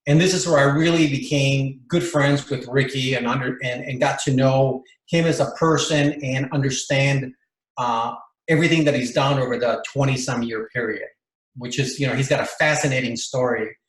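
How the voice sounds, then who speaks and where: Interview guest, Bolivian-born man, mid pitch, halting delivery with lots of restarts, echoey room. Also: fairly even intonation. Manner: rambling, many fillers and restarts. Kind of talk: casual storytelling interview.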